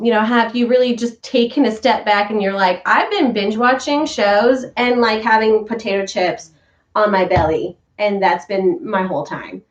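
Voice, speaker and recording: A woman talks in a loose, rambling way as she hosts a morning chat for her viewers from home. Her voice sits a little high and sounds slightly stuffy from sinus trouble. The recording is muffled and lo-fi. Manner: chatty, rambling, animated. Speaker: vlog host, voice worker.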